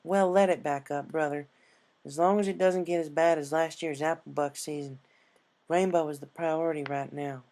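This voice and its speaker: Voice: medium pitch, fairly even intonation, moderate pace, a little mellow, pitched above the performer's own voice, close to an everyday voice. A narrator voicing a caring, folksy young female farm pony with an earnest, homespun way of talking. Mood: brisk, caring.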